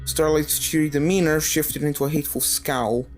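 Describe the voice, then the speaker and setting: A woman's voice: sits low, fairly even intonation, normal speed, faint music behind. A woman reading a scripted story aloud as a dramatic narrator, telling the tale in character-driven prose. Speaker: fan fiction narrator.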